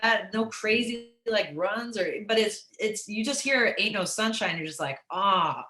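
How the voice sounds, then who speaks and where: Raspy, bright alto woman in a roomy space. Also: medium pitch, measured pace. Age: middle-aged. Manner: animated, profane, peppers talk with "like" and "you know". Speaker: interview guest, musician and comedian. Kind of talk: casual, candid interview chat. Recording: roomy echo.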